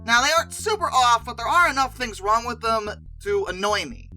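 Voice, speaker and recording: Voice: mid-range voice, expressive, a little fast, pushed and bright, soft background music. A video host giving casual, opinionated commentary in a conversational, emphatic way with exasperated asides.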